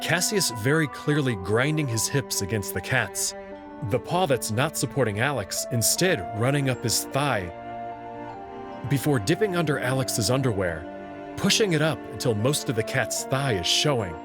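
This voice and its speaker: A man giving a measured, scripted first-person narration as he reads a visual novel. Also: medium pitch, expressive. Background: prominent music.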